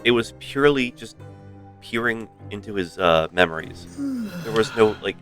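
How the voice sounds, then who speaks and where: A man's voice: lowish voice, lively intonation, normal speed, fairly bright tone. A man doing casual live commentary on a game as a streamer, with wry asides. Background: game music.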